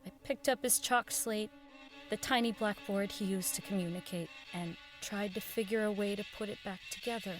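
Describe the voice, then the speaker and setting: A woman's voice: mid-range voice, fairly even intonation, moderate pace, over music, lightly acted. An actress playing an earnest, persistent woman who runs a radio show, in audio drama dialogue and first-person narration. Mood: reflective narrating.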